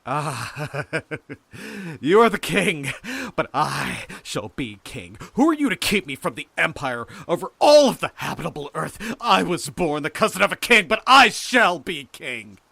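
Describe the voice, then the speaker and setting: A man acts out a madman who believes he will be king, in a dramatic audiobook. He is grandiose and threatening, barking commands with a hard, forceful edge. The pitch leaps about wildly. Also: very animated, measured pace, gravelly, breathy edge, no echo, full character voice. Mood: manic, grandiose. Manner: ranting, commanding, imperious. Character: deranged would-be king, male, grandiose and menacing. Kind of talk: dramatic audiobook character acting.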